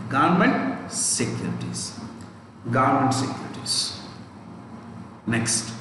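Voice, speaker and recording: Echoey Indian man teaching an exam class. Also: on the high side. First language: not Hindi. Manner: repeats key phrases slowly, spells words out, checks with "okay?". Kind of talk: live exam lesson, explaining and dictating. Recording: echoey reverberant room, distant mic, faint noise.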